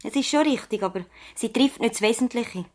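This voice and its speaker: An actress playing an earnest, thoughtful young practice assistant and loyal niece in a radio play, with a Swiss German accent. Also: female voice, fairly high pitch, brisk, a little mellow, lightly acted. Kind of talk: radio play acting, conversational dialogue.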